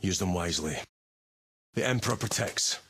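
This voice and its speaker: An actor playing a stern, battle-hardened Space Marine commander in a video game, giving terse, authoritative orders in a gritty voice. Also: male voice, low-pitched, normal speed, a bit bright, a little hiss or hum. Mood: cold, restrained. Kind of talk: dramatic video game voice acting, mission briefing.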